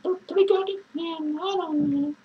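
A woman playing an unhinged, drunk male clown who rambles crudely, cackles manically and lurches between shouts and mutters. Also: very high voice, fairly even intonation, measured pace, dull audio. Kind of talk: improvised character monologue to viewers.

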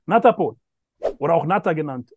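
A man's voice: on the high side, expressive, fairly quick, a little mellow, breathy edge, no echo. A man in his thirties hosting a video-call interview outdoors, talking emphatically and repeating phrases for stress. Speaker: host. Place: outdoors by a pool with greenery. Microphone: phone or laptop camera, video call.

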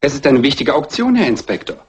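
An actor playing an insistent gentleman art dealer under suspicion in a dubbed classic crime film. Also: medium pitch, very animated, fast talker, close to an everyday voice. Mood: protesting. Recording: muffled old film soundtrack.

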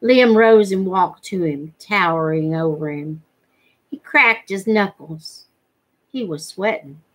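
Slow-reading middle-aged woman storyteller. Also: lowish voice, fairly bright tone, poor audio. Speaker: reader. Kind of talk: read-aloud storytelling from a novel. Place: living room at home. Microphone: webcam at desk distance.

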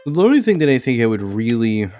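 A man's voice: mid-range voice, sing-song, fast, dark tone. A man giving casual video game commentary, rambling and thinking aloud as he plays. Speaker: gaming video host. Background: game music.

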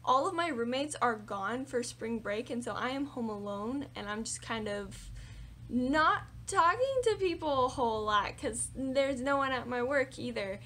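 Chatty young woman, slightly raspy. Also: fairly high pitch, measured pace, slight background noise. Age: young adult. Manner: animated, chatty, lots of fillers and hand gestures. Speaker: book vlogger. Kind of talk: casual monthly reading wrap-up. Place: small room with bookshelves indoors.

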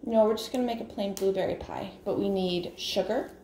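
A woman's voice: medium pitch, brisk. A woman, a mother, chatting casually with her kids while baking in a home kitchen, patiently and firmly correcting them. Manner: patient, firm, gently correcting. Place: home kitchen, large open room. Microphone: camera on counter, off-screen. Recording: echoey room, clear background noise.

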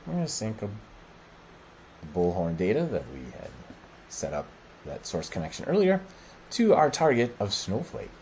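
A middle-aged American man presenting a software walkthrough, explaining step by step in short, halting phrases. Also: on the low side, a little hiss or hum, low-quality recording. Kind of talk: screen-share product walkthrough.

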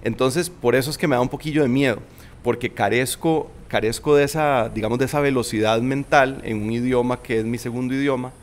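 A man's voice: medium pitch, fairly even intonation, fairly quick. Reflective Costa Rican man, hesitant. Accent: Costa Rican Spanish. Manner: thoughtful, hesitant, many restarts and fillers. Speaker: guest. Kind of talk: casual interview conversation. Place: shaded outdoor veranda. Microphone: studio mic on boom arm.

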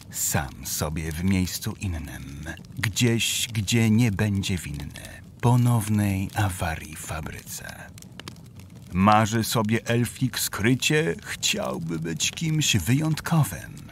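A man narrating a rhymed children's Christmas story in a calm storytelling manner. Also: on the low side, slight background noise.

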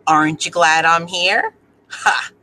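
A woman's voice: fairly low pitch, steady tone, slow, sharp tone, poor audio. A middle-aged woman reading a children's storybook as a huffy, boastful crayon, speaking emphatically. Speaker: school staff member reading aloud. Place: indoor office or classroom. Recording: clear background room noise.

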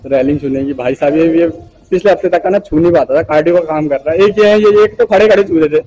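Mellow young man, casual gym vlogger. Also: on the high side, fast, low-quality recording. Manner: slangy, joking, loosely rambling. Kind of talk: casual vlog chat, teasing banter with friends.

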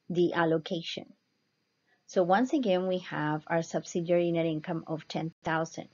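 Low-voiced woman lecturing, dull recording. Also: darkish tone. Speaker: accounting instructor. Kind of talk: slide lecture, explanatory narration. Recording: dull, muffled lo-fi voice-over.

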